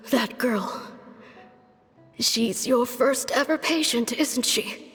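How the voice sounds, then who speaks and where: An actress playing an ailing old female doctor who talks slowly and wearily, sighing and coughing, with a wry, self-mocking edge. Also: fairly high pitch, long reverb tail, faint music behind. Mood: resolute. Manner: slow, weary, self-mocking.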